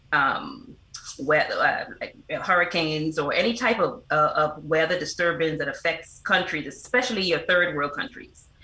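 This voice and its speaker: A woman's voice: low voice, pushed and bright. A middle-aged woman, giving earnest, explanatory answers as an interview guest. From the Bahamas. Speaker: interview guest, foundation representative and motivational speaker. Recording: roomy, echoing sound.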